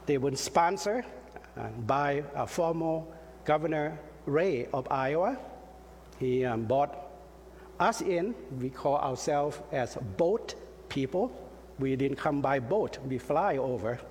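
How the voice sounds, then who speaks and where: A middle-aged pastor delivers his sermon very slowly, in a fairly high voice. He stops often to search for words and jokes about himself. His voice rings out through a reverberant church hall over a faint room hum. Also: expressive, a little mellow. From Laos. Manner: halting, with frequent fillers and light self-deprecating jokes. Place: church sanctuary.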